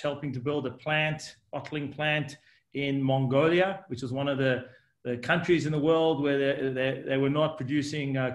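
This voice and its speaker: A man in his forties with a South African accent blended with Australian, speaking at a middle pitch. He tells his story fluently, with filler ums and uhs. The voice carries a noticeable roomy echo. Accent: South African and Australian mix. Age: 40s. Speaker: guest, company executive. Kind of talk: podcast interview.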